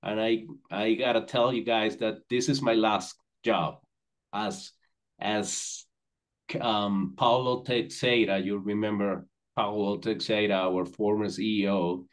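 A man speaks in a fairly low voice over a computer mic on a video call. His talk is friendly and loose, full of fillers and restarts as he chats in English. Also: fairly even intonation, slow. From Venezuela. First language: Spanish. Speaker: company managing director, guest. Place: indoors with virtual backdrop.

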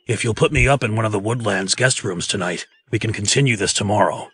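A man's voice: fairly low pitch, fast, fairly bright tone, breathy edge, no echo. A man voicing an agreeable, plain-spoken fairy-tale craftsman in a comic dub, earnest and deferential. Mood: helpful.

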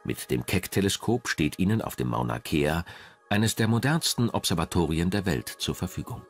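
A man narrating a scripted documentary in a measured, dramatic way. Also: low-pitched, animated. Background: background music.

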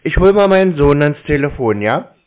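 A man's voice: fairly high pitch, expressive, normal speed, clean tone. A man chatting casually on a call, playing clueless as he handles a telemarketer. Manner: feigned cluelessness. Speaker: scambaiter taking scam calls. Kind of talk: casual phone chat. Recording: narrow phone-call audio.

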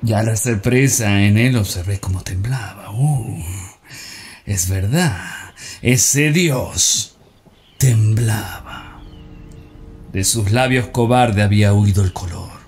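A man's voice: fairly low pitch, takes it slowly, a little mellow. A man playing a bitter, sneering Roman conspirator. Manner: declamatory, sneering, mocking. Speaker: stage actor. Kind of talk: theatrical monologue.

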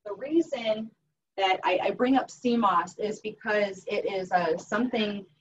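A woman's voice: mid-range voice, measured pace. A woman in her thirties with a Western Pennsylvania accent, a health coach teaching a live cooking class in an upbeat, earnest way with frequent ums and restarts. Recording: distant mic, dull sound, faint noise.

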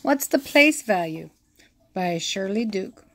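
A woman reading a children's math picture book aloud, counting slowly and asking the listener questions, recorded on a phone held over the book. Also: mid-range voice, expressive, fairly bright tone. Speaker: read-aloud teacher.

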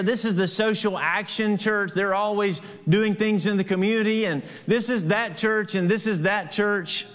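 High-pitched American preacher in an echoing hall. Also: male voice, fairly bright tone. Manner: earnest, emphatic, with pauses and rhetorical questions. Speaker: pastor preaching to a congregation. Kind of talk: expository sermon. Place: church hall with hard floor, small congregation. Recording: reverberant hall sound.

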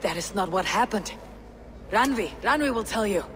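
A woman playing a loyal, earnest young Viking warrior in a video game, with a low, husky voice. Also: expressive, moderate pace, fairly bright tone, slight background noise, close to an everyday voice. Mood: defensive.

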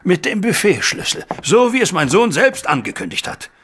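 An actor playing a stern, proud older banker with an emphatic, formal manner, in a German audio drama. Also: male voice, sits high, big pitch swings, normal speed, fairly bright tone, slight background noise. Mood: troubled.

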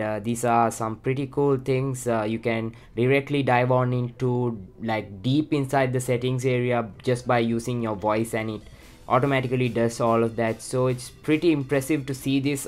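A man with a Sri Lankan accent talks through a phone setup at his desk in a relaxed, unscripted way. His speech is full of small fillers and "let's go ahead" phrases. A faint music bed sits behind him. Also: mid-range voice, normal speed, smooth. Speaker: tech channel host. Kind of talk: casual how-to walkthrough.

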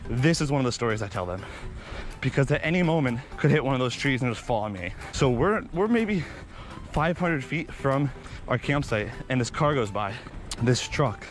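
A man's voice: mid-range voice, normal speed, soft background music. Man telling stories while jogging on a beach. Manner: animated, excitable storytelling with sound effects. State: slightly out of breath from running. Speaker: vlogger. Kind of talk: casual storytelling while jogging. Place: outdoors on a sandy beach. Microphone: body-mounted action camera.

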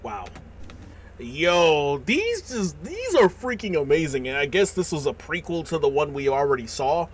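A young American man doing casual reaction commentary in a slangy, animated manner. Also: on the high side, measured pace, slight background noise. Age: young adult. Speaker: reaction video host. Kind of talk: casual reaction commentary, reading captions aloud. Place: small indoor room. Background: music.

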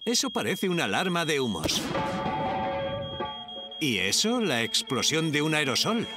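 A man's voice: a little high, sing-song, a little slow, loud music behind the voice. An actor playing a brave, dependable village fireman in a dubbed children's cartoon, earnest and reassuring, with a European Spanish accent. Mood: focused, reassuring.

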